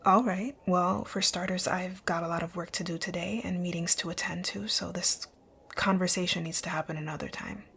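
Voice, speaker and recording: A woman playing a rich, dominant company owner in an ASMR roleplay, speaking in a composed, commanding, breathy near-whisper. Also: fairly low pitch, steady tone, a little fast, fairly bright tone, exaggerated voice. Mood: businesslike, commanding. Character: rich, bossy, dominant female company owner, curt yet flirtatious. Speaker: audio roleplay voice actor. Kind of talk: ASMR roleplay, scripted one-sided dialogue.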